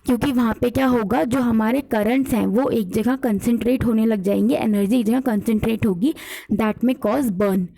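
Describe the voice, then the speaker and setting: Indian woman teacher with a mellow, higher voice. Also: moderate pace, creaky, low-quality recording. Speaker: physiotherapy teacher. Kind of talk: exam revision lecture mixing scripted points with casual explanation.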